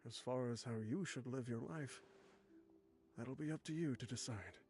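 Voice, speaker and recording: An actor playing a roguish, boastful old mercenary leader near death, reminiscing wryly and fondly in an anime game. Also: male voice, medium pitch, moderate pace, a little mellow, close to an everyday voice. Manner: wry, chuckling, reminiscing. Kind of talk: anime game acting, letter read in voice-over.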